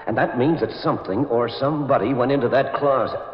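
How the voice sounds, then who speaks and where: An actor playing a bold, decisive crime fighter in a 1940s radio drama, speaking in a commanding, deliberate way. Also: male voice, medium pitch, lightly acted. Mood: alert. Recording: dull, faintly noisy old radio recording.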